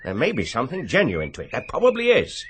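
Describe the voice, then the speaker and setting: Stern, high, raspy man. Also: wildly swooping pitch, quick pace, heavily acted. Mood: disapproving. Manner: firm, disapproving. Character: stern, protective man forbidding idle curiosity. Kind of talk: British radio drama acting.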